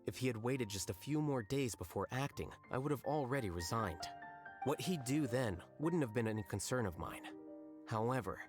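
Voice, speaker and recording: Deadpan aloof scholar, voiced by a man. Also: mid-range voice, moderate pace, music in the background, lightly acted. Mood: detached. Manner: dry, detached, matter-of-fact. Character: aloof, blunt young male scholar official. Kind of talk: video game voice acting.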